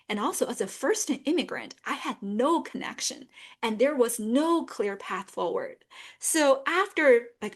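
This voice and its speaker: Woman with a Chinese accent hosting a webinar. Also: high voice. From northern China. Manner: earnest, many fillers, repeats "yeah". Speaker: webinar host and career coach. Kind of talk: online webinar presentation. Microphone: video call.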